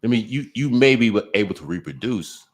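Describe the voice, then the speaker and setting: A man with a very low voice, talking casually on a podcast. He stops and restarts often, fills gaps with "uh" and "like", then pushes hard on the points he cares about. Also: animated. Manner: halting, many restarts and fillers, emphatic bursts. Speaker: podcast host. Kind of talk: casual conversation.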